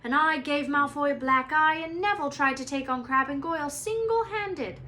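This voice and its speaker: Lady doing a hyped up schoolboy from a storybook, shouty and high, kinda braggy. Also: normal speed. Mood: excited. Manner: shouting, exuberant. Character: excitable, boastful schoolboy.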